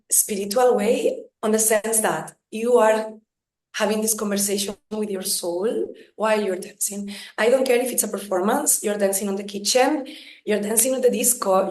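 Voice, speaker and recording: Emphatic woman in an echoey room. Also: medium pitch. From Spain. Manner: emphatic and animated, with "come on" and "right?". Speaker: guest dancer. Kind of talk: casual conversational interview.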